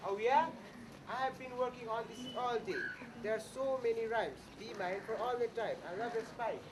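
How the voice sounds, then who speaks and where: A woman's voice: a little high, normal speed, close to an everyday voice. A young woman playing an awkward, hesitant, lovestruck young man in a comic school stage play. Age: young adult. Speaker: student actor in a school play. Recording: noisy stage recording.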